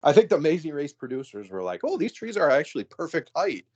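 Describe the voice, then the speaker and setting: A man's voice: fairly high pitch, big pitch swings, fast talker, a little mellow, dull audio. A man hosting a casual reality-show review podcast, talking in a rambling way with many fillers and easy laughs. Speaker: podcast host. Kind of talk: casual chat.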